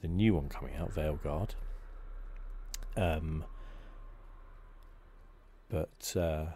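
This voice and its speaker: Male gaming host, extremely low voice, gentle hesitant ASMR delivery, clear background noise on the recording. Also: extremely slow. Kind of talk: ASMR game playthrough commentary.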